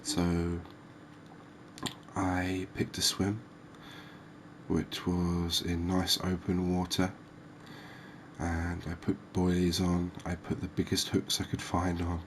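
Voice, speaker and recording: A man giving soft-spoken ASMR game commentary as the host, in a gentle, unhurried, hesitant way. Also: low-pitched, monotone, slight background noise. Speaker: gaming video host.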